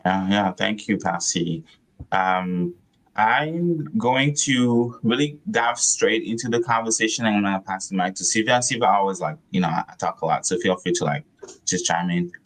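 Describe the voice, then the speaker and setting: Male co-host with a very low voice, casual and chatty on a live stream. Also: moderate pace, a bit bright, poor audio. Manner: loose and chatty, full of fillers.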